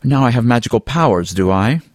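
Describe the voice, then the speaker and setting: A man's voice: medium pitch, animated, brisk, darkish tone, no echo, studio quality, voice pushed up, lightly acted. A man voicing a vain, flirtatious, theatrical male weasel in an audiobook, haughty and florid in manner. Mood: irritated, defensive. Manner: haughty, florid, wordy, drawling endearments. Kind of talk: audiobook character dialogue.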